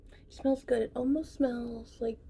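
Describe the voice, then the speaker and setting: A woman playing a gentle, whimsical witch in an ASMR roleplay, speaking in a soft, breathy, soothing whisper. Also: a little high, fairly even intonation. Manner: slow, soothing, unhurried. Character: gentle, whimsical female witch teaching potion making. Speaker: ASMR performer.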